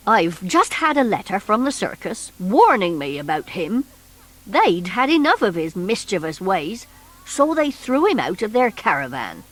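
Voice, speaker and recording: A woman voicing a stern, knowing male village policeman with a deliberate, authoritative manner in a children's storybook. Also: medium pitch, very animated, poor audio. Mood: stern, scornful.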